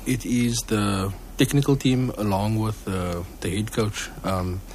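A man's voice: on the low side, unhurried, darkish tone, slight background noise. A man speaking steadily and supportively about his choir's team in a casual interview.

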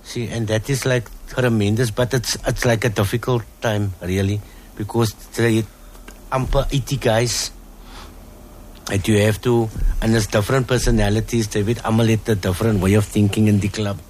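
A man's voice: lowish voice, fairly even intonation, normal speed, a little mellow, slight background noise. A man with a Cape Afrikaans-tinged South African accent, chatting loosely and laughing as a choir chairman in an interview. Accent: South African, Cape Afrikaans-influenced. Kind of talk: casual interview chat.

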